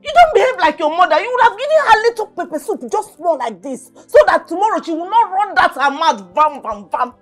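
A woman's voice: very high-pitched, big pitch swings, brisk, hardly any pauses, soft background music. A middle-aged woman with a Nigerian accent acting a combative, protective mother in a family drama, scolding with rhetorical questions and exclamations. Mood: indignant, scolding. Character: combative, protective, meddling mother of grown daughter.

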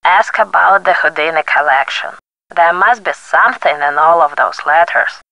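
A woman's voice: sits low, normal speed, bright, forceful tone, dry recording, rough recording. An actress playing a crotchety, sardonic ninety-six-year-old woman in a video game, curt and dryly morbid. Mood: grumpy. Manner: curt, dry, morbid wit.

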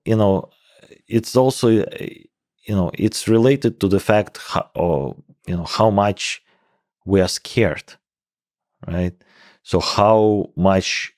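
A man in his forties with a thick Russian accent, a native Russian speaker, talking casually and haltingly as an interview guest into a close microphone. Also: low-pitched, a little mellow, no echo. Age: 40s. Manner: halting, hesitant phrasing. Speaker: interview guest, professor of computational biology. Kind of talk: casual podcast conversation. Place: small indoor podcast room. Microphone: close microphone on boom arm.

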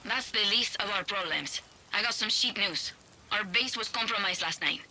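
A woman's voice: mid-range voice, normal speed, sharp tone, slight background noise, rough recording. An actress playing a blunt, commanding young woman guerrilla leader who barks orders, in video game dialogue. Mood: briefing.

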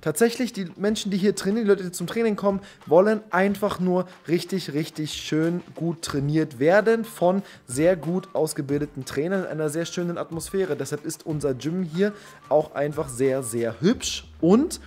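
A man's voice: on the high side, normal speed, a little mellow. A man, talking casually and emphatically to a handheld camera indoors. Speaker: vlogger, gym owner and coach. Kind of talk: casual vlog talk to camera. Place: large indoor rooms, empty hall and tiled gym. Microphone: camera held at arm's length.

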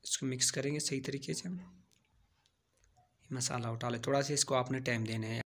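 A man's voice: medium pitch, steady tone, normal speed, a bit bright. A man narrating a home cooking tutorial, giving plain step-by-step instructions and repeating key phrases. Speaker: home cooking channel host.

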